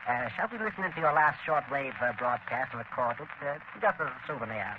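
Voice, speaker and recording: An actor playing a polite, hesitant villainous conspirator in 1930s radio style. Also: male voice, mid-range voice, animated, quick pace, natural voice. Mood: smug, courteous. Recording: noisy muffled 1930s radio broadcast.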